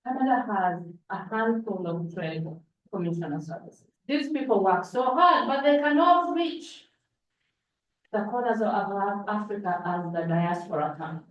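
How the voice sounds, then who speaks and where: A woman's voice: medium pitch, unhurried. A woman from Uganda in her forties, whose first language is Luganda, tells a personal story at a conference and often restarts her phrases. She is picked up from a distance in a large echoing hall, and the sound is dull and muffled. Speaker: panel speaker. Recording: echoey hall from far off, dull.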